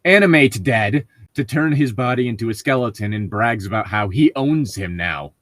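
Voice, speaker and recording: A man co-hosting a casual story-reading chat, talking in a halting way and often rephrasing himself. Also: mid-range voice, lively intonation, fairly bright tone, no echo. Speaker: co-host reading online stories.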